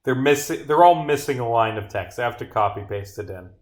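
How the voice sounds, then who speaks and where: A man with a mid-pitched voice talks to himself on a casual livestream. He reads bits aloud as he works and grumbles in sweary asides. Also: lively intonation, a little fast. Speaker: streamer, game developer. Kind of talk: casual livestream muttering while reading and bugfixing script aloud. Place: indoors at a computer, streaming.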